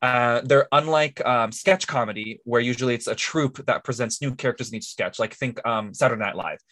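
A man's voice: medium pitch, a little fast, fairly bright tone, no echo. A man co-hosting a podcast, explaining things in a casual, jokey, conversational way. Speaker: podcast co-host.

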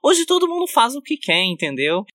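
A man hosting a casual chat, talkative with quick asides and easy laughter. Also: extremely high pitch, huge pitch swings, pushed and bright, dry recording, clean studio sound. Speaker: podcast host.

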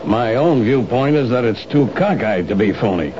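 Skeptical cop with a dry drawl, played by an actor, vintage radio play, muffled with loud hiss. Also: male voice, medium pitch, lively intonation, normal speed, natural voice. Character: skeptical, wry middle-aged police lieutenant. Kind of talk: 1970s radio drama acting. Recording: dull old radio broadcast with loud hiss.

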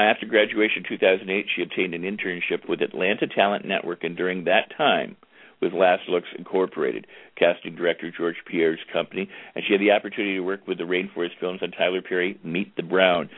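A man with a low, deep voice hosts an internet talk radio show. He chats in a relaxed, easygoing way. The sound is tinny and phone-like, with no room echo at all. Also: fairly quick. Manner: chatty, easygoing, plugs the show. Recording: tinny phone-like line, dry.

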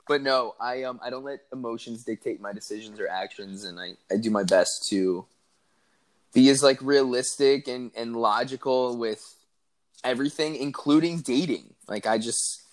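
A man's voice: medium pitch, moderate pace, low-quality recording. A man around thirty, a podcast guest, talking earnestly and reflectively in casual chat with lots of fillers. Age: 30s.

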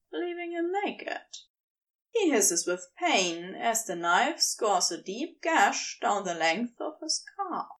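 A woman reading a scripted story aloud as narrator, with expressive, measured storytelling. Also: fairly high pitch, distant mic. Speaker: podfic reader.

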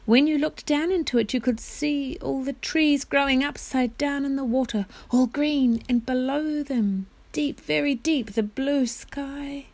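A woman voicing an anguished bewitched knight in a storybook, pleading in courtly, archaic words with drawn-out, groaning delivery. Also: on the high side, no echo, close to an everyday voice. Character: anguished bewitched knight, a desperate young man pleading in bonds.

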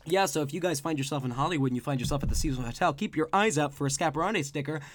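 A man in his twenties co-hosting a podcast, loud and excitable in casual chat, swearing often. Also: a little high, rapid-fire, slight background noise. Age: 20s. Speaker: podcast co-host.

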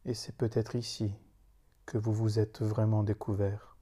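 A man recites solemn spoken poetry in a very mellow, dark voice. His delivery is slow and meditative, with grave pauses between short phrases. The intonation stays flat and even throughout. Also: fairly low pitch, breathy edge. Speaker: meditation leader reciting own poem.

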